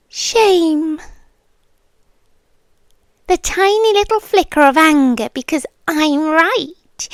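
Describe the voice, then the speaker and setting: An ancient, possessive supernatural girlfriend acted by a woman speaks in a high British voice. She draws her words out very slowly, teasing and taunting in an intimate ASMR style that often slips toward whispering. The sound is close and dry, with a smug menace underneath. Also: no echo, heavily acted. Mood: teasing, commanding. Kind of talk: ASMR roleplay.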